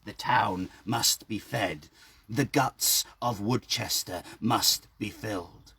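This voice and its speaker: A man voicing an ancient, skeletal guardian of a well in a story reading, speaking slowly and low with whispered menace. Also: sharp tone, slightly rough, full character voice. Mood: grave, demanding.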